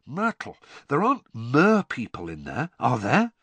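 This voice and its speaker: A man's voice: mid-range voice, huge pitch swings, unhurried, a bit bright, breathy edge, no room sound, heavily acted. A man voicing an earnest, puzzled teenage schoolboy in a storybook, with a hesitant, questioning delivery. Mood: curious.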